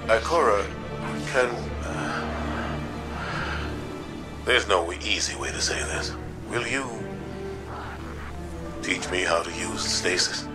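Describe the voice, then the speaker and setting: An actor playing a solemn middle-aged military commander in a video game, earnest and measured in his speech. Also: low voice, expressive. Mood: humbled, earnest. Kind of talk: video game cutscene acting. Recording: loud music behind the voice.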